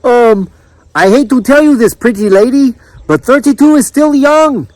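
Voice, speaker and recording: A man voicing a smooth-talking, flirtatious island man with an exotic accent in a storybook narration. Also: medium pitch, very animated, measured pace, no echo, low-quality recording.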